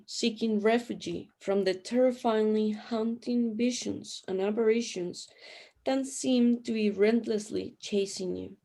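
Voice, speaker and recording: A woman playing a knowing, cryptic afterlife companion with deliberate storytelling delivery in a sci-fi radio drama. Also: fairly high pitch, darkish tone.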